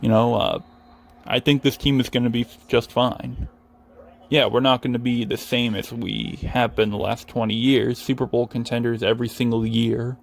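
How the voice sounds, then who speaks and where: A man's voice: medium pitch, level tone, normal speed, creaky. A young man from New England with an American accent, talking earnestly and reflectively in casual, rambling solo sports commentary. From New England, United States. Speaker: Patriots fan commentating over his video game.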